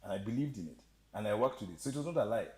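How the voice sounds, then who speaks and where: A man with a slight Ugandan accent telling stories as a podcast guest, animated and joking, often laughing. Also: fairly low pitch, very fast. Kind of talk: storytelling interview chat.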